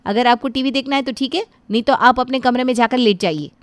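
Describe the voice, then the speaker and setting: A woman voicing a rude, sharp-tongued young daughter-in-law who snaps scornfully at her mother-in-law. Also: a little high, fast, no echo, pitched above the performer's own voice, natural voice. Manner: snappy, scornful, lively.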